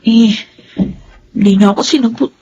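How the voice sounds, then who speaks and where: An actress with a Filipino accent playing an evasive, slightly sardonic young adult daughter in a radio drama. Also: female voice, on the high side, moderate pace, mellow, muffled recording, a little hiss or hum, close to an everyday voice. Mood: flustered, evasive.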